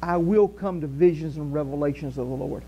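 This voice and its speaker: A man's voice: fairly high pitch, a little fast, highs cut off. An older man with a Southern US accent, preaching a conversational sermon as pastor in a small church hall. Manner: conversational preaching, animated gestures, walks the stage. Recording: faint mains hum.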